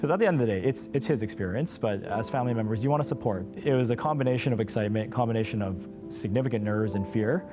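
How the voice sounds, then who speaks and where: Earnest low-voiced man sharing experiences. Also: fast talker, few pauses, music in the background. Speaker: interview guest, patient's brother. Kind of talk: candid personal storytelling in an interview.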